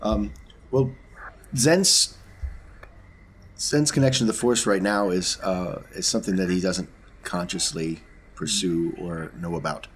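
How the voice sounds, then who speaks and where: A man playing a tabletop game, talking casually through his actions in a deliberate, explanatory way. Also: low-pitched, breathy edge.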